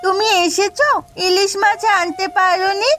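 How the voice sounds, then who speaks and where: A woman's voice: extremely high pitch, steady tone, normal speed, fairly bright tone, soft background music, voice pushed up. A woman voicing a sick little girl in a cartoon, wistful, childlike and pleading. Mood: sad, wistful. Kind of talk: Bengali cartoon voice acting.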